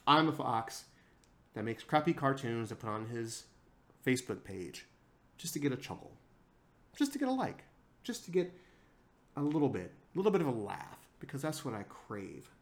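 A man at a middle pitch delivers a passionate solo rant on his own podcast. He leans hard on repeated lines and lets his pitch and volume surge and drop. The sound comes from a single mic on a laptop. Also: normal speed, long pauses. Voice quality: self-described monotone voice. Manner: emphatic and repetitive, with big swings in pitch and loudness. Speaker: podcast host. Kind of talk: impassioned solo podcast monologue.

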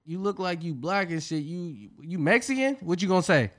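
Fast-talking man co-hosting a call-in show. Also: high voice, animated. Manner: fast, slangy, profane banter. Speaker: podcast co-host. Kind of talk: casual chat with callers.

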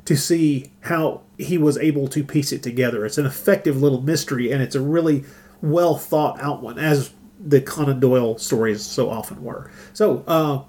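A man hosting a podcast in casual discussion, thinking aloud in a halting way. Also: mid-range voice, a little mellow. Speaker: podcast host.

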